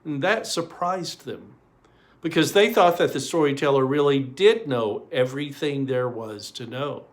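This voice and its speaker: A man reading a children's story aloud in an expressive way, with deliberate pauses. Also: medium pitch.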